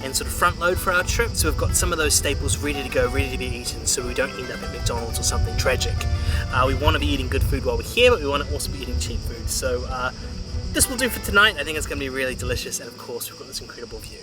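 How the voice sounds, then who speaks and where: A man's voice: medium pitch, racing, over music, poor audio. A man chatting casually to camera as a travel vlog co-host.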